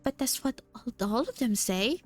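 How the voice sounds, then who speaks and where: A shy young mercenary girl who stumbles over her words and seldom finishes a thought, voiced by a woman reading a game story aloud. Her voice runs high and soft, with quiet game music underneath. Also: animated, normal speed. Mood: unsure. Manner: hesitant, stammering.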